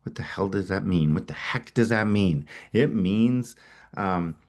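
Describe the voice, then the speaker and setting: Low-voiced man with a cold. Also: a little slow. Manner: energetic, repeats words as he restarts. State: has a cold, sniffling. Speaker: company founder presenting a webinar. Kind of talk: webinar sales presentation.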